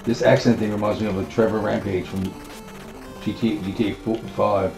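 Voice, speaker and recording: A man with a low, gravelly voice chats on a game stream, laughing often and tripping over his words. He sounds like he is in an echoey room with faint music behind. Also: steady tone, a little fast. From Newfoundland. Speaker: co-host. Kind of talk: casual live-stream chat.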